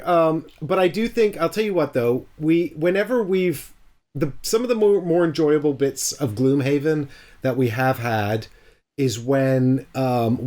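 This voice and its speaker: A man's voice: medium pitch, darkish tone. A man with a sore throat, hosting a stream and chatting casually about board games, with lots of ums and restarts. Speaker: stream host. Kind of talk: casual chat.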